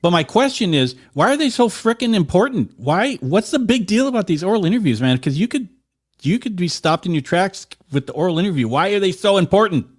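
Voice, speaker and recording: A man with a slightly raised voice hosts a podcast in casual conversation. He asks blunt, frank questions without much softening. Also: high voice, very animated, fairly quick. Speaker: podcast host. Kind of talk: casual chat.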